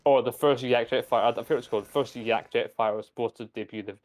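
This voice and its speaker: A man's voice: mid-range voice, fairly even intonation, very fast, a little mellow. A young man streaming a game, rambling in casual chat with frequent swearing and restarts. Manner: rambling, profane, full of restarts.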